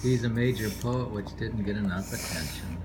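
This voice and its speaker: A man reading poetry informally, unhurried and rambling, repeating lines and adding offhand commentary. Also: fairly low pitch. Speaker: poetry reader. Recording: noisy room ambience, amateur home recording.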